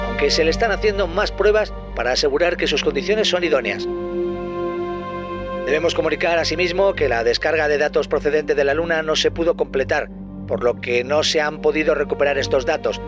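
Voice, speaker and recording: Man playing higher-voiced formal space agency spokesman. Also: lightly acted. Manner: formal, solemn, precise. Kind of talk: official news announcement in audio drama.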